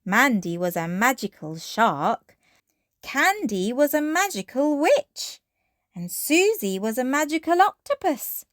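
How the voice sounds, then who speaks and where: A woman's voice: high voice, sing-song, slow pace, no echo, studio quality. A woman reading a children's bedtime story aloud as narrator.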